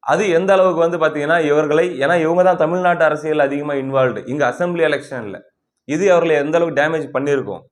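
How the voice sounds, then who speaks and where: A man's voice: a little high, fast. A man with a Tamil Nadu accent giving conversational political commentary, explaining things and posing rhetorical questions that he answers himself.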